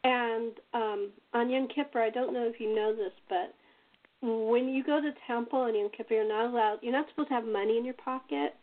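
Higher-voiced woman on a thin phone-like line. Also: steady tone, brisk. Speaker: host and playwright. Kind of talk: casual conversational storytelling. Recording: thin phone-like call audio.

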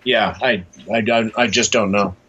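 A man answering casually as an interview guest, hesitant, low-key and matter-of-fact. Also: steady tone, normal speed, pushed and bright, lo-fi sound, a little hiss or hum. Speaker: interview guest, comics creator.

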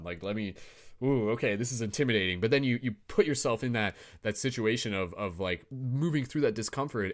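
A man hosting an online round table, upbeat and encouraging. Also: on the low side. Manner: upbeat, encouraging, repeats "awesome". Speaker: host. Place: online video call.

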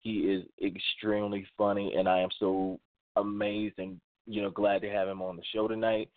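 A man hosts an online radio show, eager and affirming as he welcomes a guest. His voice sits somewhat low. The sound is dry and thin, like a phone line. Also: little pitch movement, normal speed, no echo. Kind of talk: interview hosting.

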